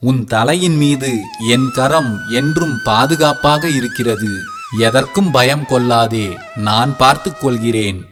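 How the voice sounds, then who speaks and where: A man voicing a gentle, protective male deity who speaks calmly and reassuringly to a devotee in a scripted devotional narration. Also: mid-range voice, lightly acted. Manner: calm, soothing, reassuring address. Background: soft background music.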